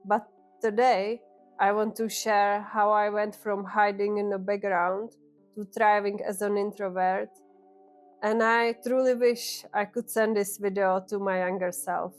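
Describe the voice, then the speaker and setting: A woman in her thirties from the Czech Republic, with a Czech accent, calmly and earnestly telling her own story to camera. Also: medium pitch, unhurried, soft background music. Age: 30s. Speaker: vlogger. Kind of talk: reflective personal storytelling.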